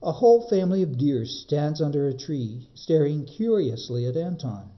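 A man reading a children's book as a librarian, in expressive narration with dramatic, measured phrasing. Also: fairly high pitch, highs cut off.

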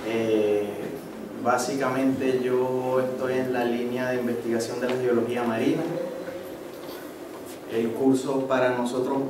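Slow-talking Venezuelan man in a very echoey room. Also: medium pitch, level tone. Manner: halting, with frequent tag checks. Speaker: course participant presenting, marine geology researcher. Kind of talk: informal spoken presentation. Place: medium-sized indoor seminar room. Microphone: handheld microphone through PA, picked up by far camera. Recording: echoey room, distant camera microphone, noisy.

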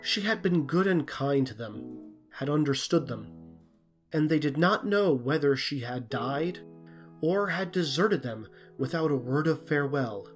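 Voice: mid-range voice, animated, unhurried. Storyteller over plucked string music. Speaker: narrator. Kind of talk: scripted storybook narration.

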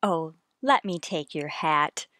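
A woman's voice: deep voice, lively intonation, slow, a bit bright, no echo, studio quality, full character voice. A woman playing a secretive, sweetly domestic suburban wife in a radio play. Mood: polite.

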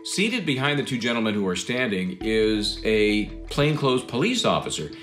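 A man's voice: lowish voice, sing-song, normal speed. A man giving commentary on a true-crime documentary. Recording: music underneath.

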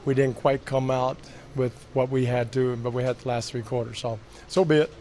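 A man's voice: medium pitch, level tone, moderate pace, mellow, slight background noise. An older man speaking at a postgame press conference as head coach, matter-of-fact. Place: press room. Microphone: podium mics.

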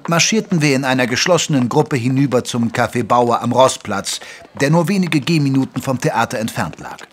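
Male narrator, medium pitch: genteel period doctor telling a mystery, measured and literary. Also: fairly bright tone. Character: genteel Victorian doctor sidekick, good-natured man. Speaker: narrator and sidekick doctor in audio drama. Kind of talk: scripted narration, German audio drama.

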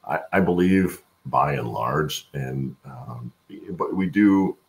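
A middle-aged man with an extremely low, gravelly voice. He talks as an expert guest on a video call, explaining things step by step with frequent hesitations. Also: lively intonation, slow pace. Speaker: guest, supply chain expert. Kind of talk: interview answers, explaining work practice. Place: room at home, on a video call.

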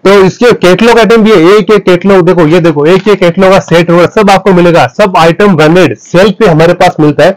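High-voiced man, emphatic seller. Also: brisk, few pauses, poor audio. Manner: emphatic, boastful. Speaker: wholesale clothing seller. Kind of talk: sales pitch to camera. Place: small clothing wholesale shop, indoors. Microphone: clip-on lapel mic.

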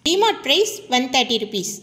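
High, bright Tamil woman in reverberant room. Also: very animated, quick pace. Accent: Tamil Indian. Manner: addresses viewers as friends, itemized listing delivery. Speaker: family vlogger. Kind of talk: shopping haul voice-over listing items and prices. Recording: long hollow reverberant room echo.

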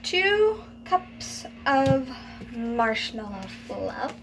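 A woman's voice: high-pitched, sing-song, very slow, rough recording. A teenage girl filming a casual cooking demonstration in a small home kitchen on a phone, chatty and hesitant, often trailing off mid-sentence. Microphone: phone camera on the counter. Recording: home video with background noise.

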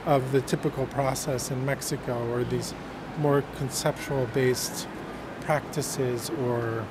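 A man speaking quickly and enthusiastically, explaining an artist's work in a casual conversation. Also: medium pitch, fairly even intonation. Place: large indoor art fair hall. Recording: noisy hall ambience.

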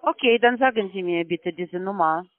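A man as a phone scammer, speaking halting, broken German patiently and repetitively on a phone call. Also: high-pitched, no room sound. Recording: tinny phone line.

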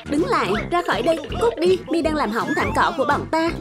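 A plump cartoon cat with a gentle nature and a hidden temper, speaking in a high voice, as a woman voices it. It soothes its friends in one moment and snarls at intruders in the next. Loud music plays under the dubbed voice. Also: sing-song, fast. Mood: furious. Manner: soothing, then snarling when angry. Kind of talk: Vietnamese cartoon dub. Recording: dub with loud music behind.

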